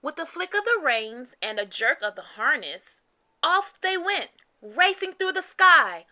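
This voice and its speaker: Animated, bright-voiced woman reading a storybook. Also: high-pitched. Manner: expressive, deliberate phrasing. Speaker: children's read-aloud storyteller. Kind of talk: animated storybook narration for young children.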